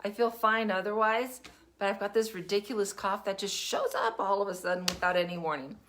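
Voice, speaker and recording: An older woman hosting a casual livestream, chatty and meandering, her throat still rough from a lingering cough. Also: mid-range voice, a little fast, slight background noise. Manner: chatty, warm-hearted, meandering with fillers. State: recovering cough, cough drop in mouth. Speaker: podcast host. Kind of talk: casual livestream talk to viewers.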